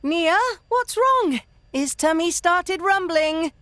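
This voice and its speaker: A woman voicing a small, childlike, furry male game creature who speaks earnestly in halting, broken grammar. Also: very high voice, lively intonation, a bit bright, no echo, exaggerated voice. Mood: concerned, innocent. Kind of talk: video game character acting.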